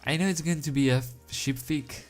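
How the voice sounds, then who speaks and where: A man who is not a native English speaker, reading and commenting as an amateur in a halting, giggly, self-deprecating way. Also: a little high. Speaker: amateur fan fiction reader. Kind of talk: story reading with casual commentary. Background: background music.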